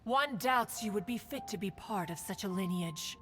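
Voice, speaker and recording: A woman's voice: mid-range voice, expressive, normal speed, lightly acted. An actress voicing a haughty female adeptus in a game cutscene, cold and disdainful. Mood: scornful. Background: music.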